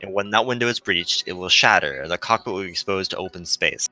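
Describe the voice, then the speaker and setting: Man voicing a gentle, bright-edged dying ship AI girl. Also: deep voice, quick pace, faint music behind, rough recording. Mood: grave warning. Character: fading female shuttle AI, gentle, self-sacrificing, melancholy.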